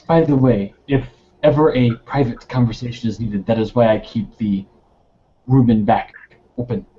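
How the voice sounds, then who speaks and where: Mellow, modest restaurateur played by a man, courteous and drily humorous, tabletop roleplay, dull lo-fi sound. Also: medium pitch, steady tone, normal speed, a little hiss or hum, pitched below the performer's own voice, close to an everyday voice. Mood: polite, dry. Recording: dull lo-fi voice recording.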